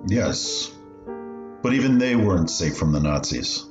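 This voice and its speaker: A man acts an elderly refugee looking back on his mother in a radio play. His voice is very low and he tells his memories in a measured way. The sound is slightly dull, with music behind him. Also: animated. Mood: somber.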